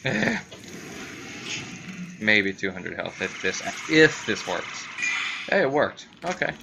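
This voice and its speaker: A man's voice: on the low side, very animated, slow. A man giving casual, rambling gameplay commentary, talking to viewers and to himself. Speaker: gamer commentating own gameplay. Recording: clearly noisy amateur recording.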